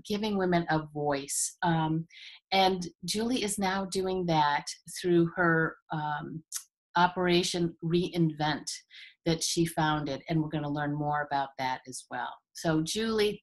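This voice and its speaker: An older woman with a somewhat low voice hosts an interview show from a room in her home. She speaks in an upbeat, welcoming way into a webcam on a video call. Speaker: host. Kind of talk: scripted show opening, then interview. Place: home room with framed painting.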